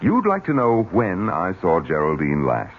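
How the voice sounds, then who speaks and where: An actor playing a smooth, guarded nephew who manages a mill, in a theatrical old-time radio mystery style. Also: male voice, sits low, huge pitch swings, moderate pace, heavily acted. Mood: guarded, firm. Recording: dull, noisy old radio recording.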